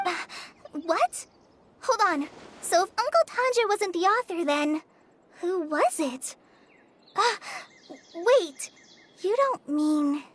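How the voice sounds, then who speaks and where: A woman voicing an eager young child in a video game, practical and quick to doubt. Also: extremely high pitch, expressive, a little hiss or hum, full character voice. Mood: startled. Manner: quick, doubting, matter-of-fact.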